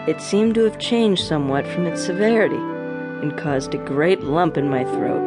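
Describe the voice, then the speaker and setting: A man's voice: a little high, natural voice. An actor playing an earnest, naive orphan country boy in an audio drama, talking plainly with rustic grammar. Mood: uneasy. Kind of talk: audio drama acting and first-person narration.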